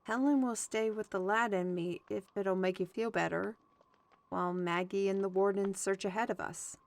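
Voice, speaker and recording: A woman playing a courteous, steady searcher in a fantasy audio drama, speaking in a measured, formal way. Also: mid-range voice, gravelly, lightly acted. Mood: courteous, reassuring. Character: courteous, steady searcher pledging honor, folksy turns of phrase.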